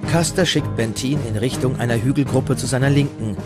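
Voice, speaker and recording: A man's voice: medium pitch, fairly quick. A man reading scripted documentary narration as the narrator. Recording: loud music bed under the voice. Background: loud music.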